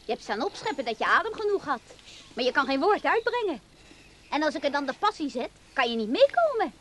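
A taunting young woman in a radio drama, goading and playful. Her voice is very high and swings freely up and down, with faint outdoor birdsong behind it. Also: sing-song, brisk, slight background noise. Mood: teasing, gleeful. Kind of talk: radio play acting.

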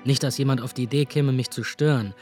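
An actor playing a drifting young would-be crime writer, giving a dry, self-deprecating monologue in a radio drama. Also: male voice, medium pitch, steady tone, fast, a little mellow, faint music behind, close to an everyday voice. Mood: wry, confessional. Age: young adult.

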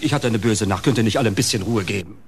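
A grumbling, bossy middle-aged uncle in a naturalistic radio play. His low voice is querulous, weary and self-pitying, over a faint hiss. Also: rapid-fire, vocal fry. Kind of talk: naturalistic German radio drama acting. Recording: hissy radio play recording.

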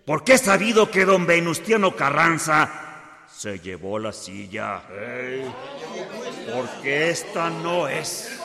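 A man's voice: on the high side, big pitch swings, slow, creaky, pushed and bright, exaggerated voice. A man playing a boastful Mexican revolutionary orator in a radio drama, declaiming to a gathering. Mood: declamatory, mock-solemn. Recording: reverberant hall with crowd.

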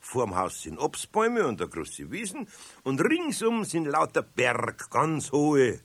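A good-natured old craftsman with a Bavarian accent and a slightly husky voice. His speech rises and falls in a lively way, full of chuckles and easy teasing, like in a 1970s radio play. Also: on the high side, slow, exaggerated voice. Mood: enthusing. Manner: chuckling, gently teasing, easygoing. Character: kindly older male master carpenter, patient and good-humoured.

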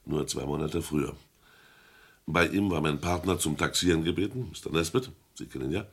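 Measured auction-house gentleman, deep husky voice, formal and discreet, old radio crime drama. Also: exaggerated voice. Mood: grave, confiding. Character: courteous, measured male London auction-house partner. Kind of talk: 1960s German radio crime play acting.

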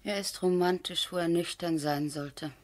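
An actress playing a cultivated, practical lady of a country estate, composed and gently ironic, in radio drama style. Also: lowish voice, fairly even intonation, normal speed, lightly acted. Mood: businesslike. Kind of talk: 1970s German radio drama acting.

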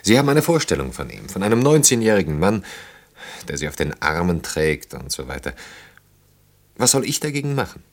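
Very deep-voiced earnest man. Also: big pitch swings, fairly quick. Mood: agitated, arguing. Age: 30s. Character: earnest, self-reproaching man of 32 in love. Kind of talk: German radio play acting.

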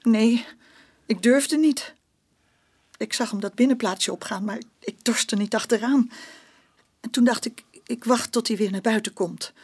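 An actress playing a bitter, stubborn working-class mother giving a confession in a Dutch radio play, speaking colloquially and haltingly. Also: a little high, a little mellow, breathy edge. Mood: anxious recounting.

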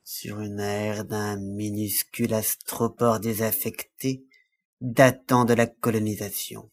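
A man plays a courteous science-fiction count with a fairly low voice. He speaks slowly and formally, with measured politeness that stays cool and evasive, as in an audio drama. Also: little pitch movement. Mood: coolly regretful. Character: suave, courteous male alien count, coolly evasive. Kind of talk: French audio drama acting.

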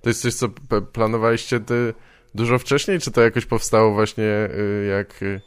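A man hosting a casual podcast interview, asking informal questions in a halting way. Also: lowish voice, steady tone, clean tone, no echo.

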